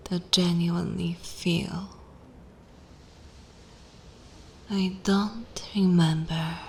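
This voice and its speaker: Woman playing a slow, whispering vampire mistress. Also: fairly low pitch, slight background noise. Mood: tender, curious. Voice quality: breathy, mostly whispered. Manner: slow, deliberate, hushed with long pauses. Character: dominant, condescending, seductive female vampire mistress. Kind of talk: ASMR roleplay, intimate whispering.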